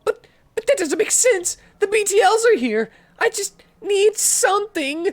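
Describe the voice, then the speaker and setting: A man doing a frantic, shrill junkie woman. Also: lively intonation, a little slow. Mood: desperate begging. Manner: pleading, frantic and whimpering. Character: desperate female junkie begging for drug money.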